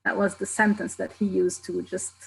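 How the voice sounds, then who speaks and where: A woman moderating a panel on a video call, her voice heavy with vocal fry. She speaks hesitantly and tends to trail off, with a little faint noise behind her. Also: medium pitch, level tone, moderate pace, poor audio. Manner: hesitant, many fillers, trailing off.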